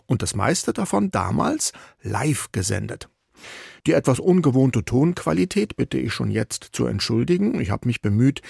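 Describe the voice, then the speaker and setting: Radio feature host, man, friendly scripted storytelling; raspy gravel in the voice. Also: mid-range voice, a little mellow, no room sound, studio quality. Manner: clear, friendly storytelling delivery. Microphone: studio microphone.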